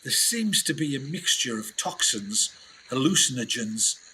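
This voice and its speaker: Guy playing a gruff-talking british expedition man in a radio play, tense bright voice, takes his time, bit indignant. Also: medium pitch, lively intonation, a little hiss or hum, low-quality recording. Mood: grave, secretive. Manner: halting, emphatic, colloquial British slang. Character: rough, indignant British expedition scientist, turning vengeful. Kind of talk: dramatic 1920s adventure radio acting.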